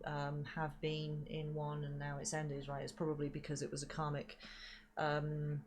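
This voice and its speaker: A woman's voice: low-pitched, monotone, moderate pace. A woman giving a rambling spiritual reading with many fillers and casual swearing, sounding stuffy and sniffly from hay fever. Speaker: spiritual reader.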